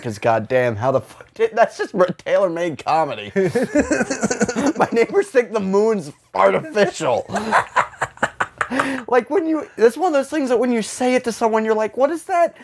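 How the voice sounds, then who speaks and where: A man's voice: high voice, slight background noise. A man hosting a comedic podcast in loose, casual chat, rambling and swearing freely with self-deprecating humour. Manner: rambling, profane, self-deprecating, animated when quoting. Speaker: podcast host.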